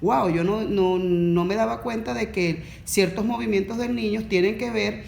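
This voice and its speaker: A woman, a course facilitator explaining on a podcast in a measured way that restates her points. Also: mid-range voice, creaky, a little mellow, roomy sound, slight background noise.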